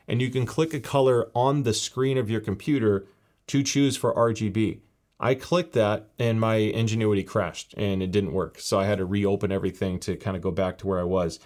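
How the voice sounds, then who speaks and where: A man giving a casual, explanatory walkthrough of a headset's software, with frequent fillers. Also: fairly low pitch. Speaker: tech reviewer. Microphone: gaming headset mic in later part.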